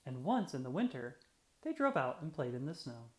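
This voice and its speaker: A storyteller reading a children's picture book aloud with deliberate, expressive phrasing for young listeners. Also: mid-range voice. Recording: roomy, echoey sound.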